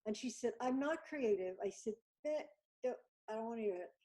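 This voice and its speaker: A woman with a fairly high voice chats casually as a guest over a video call. She tells anecdotes in an animated way and acts out the dialogue. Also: brisk. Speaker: guest artist.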